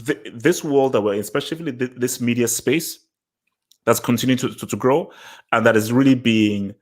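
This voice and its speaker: A man's voice: medium pitch, moderate pace. A man from Nigeria with a Nigerian accent, chatting as a podcast host, circling and repeating words to stress his point. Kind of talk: casual discussion podcast chat.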